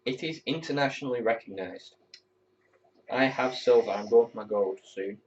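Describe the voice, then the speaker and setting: A man's voice: medium pitch, moderate pace, a little mellow. Young man, distant muffled recording. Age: teenager. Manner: candid, rambling, swears when annoyed. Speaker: air cadet corporal. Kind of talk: casual talk to camera.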